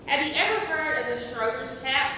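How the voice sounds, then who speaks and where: Stage comedy: actress playing a giggly, rambling woman, high bright voice, halting delivery, distant theatre-hall sound. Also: lively intonation. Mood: earnest, halting. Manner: halting, slow, rambling explanations. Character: giggly woman slowly telling a rambling joke. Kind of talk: amateur stage comedy acting. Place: theatre stage, picked up from afar. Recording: distant, echoey theatre hall, faint noise.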